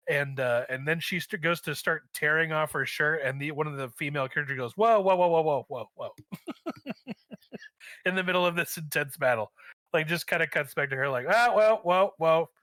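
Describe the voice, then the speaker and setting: A man chatting casually as a podcast guest, joking and telling stories in an animated, playful way with mock voices. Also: fairly high pitch, no echo.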